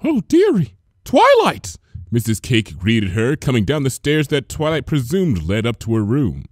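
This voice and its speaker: A man gives a dramatic scripted reading of a story. His mid-range voice is highly animated and expressive, in a dry recording with little room sound. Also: normal speed, no room sound. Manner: theatrical, expressive. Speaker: fan fiction reader.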